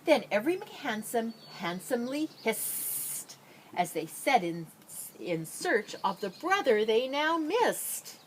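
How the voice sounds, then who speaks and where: A woman reading a children's picture book aloud expressively, with drawn-out dramatic phrasing and playful asides. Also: on the high side, big pitch swings, slight background noise. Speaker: storybook reader.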